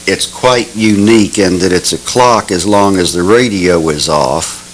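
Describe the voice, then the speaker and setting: A man's voice: sits low, a little slow, slight background noise, poor audio. A man explaining items as a presenter in a show-and-tell style. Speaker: presenter of vintage radios.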